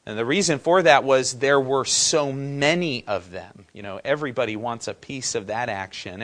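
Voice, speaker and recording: A man's voice: mid-range voice, a bit bright. A man from preaching a sermon, often putting questions to the congregation. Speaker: pastor.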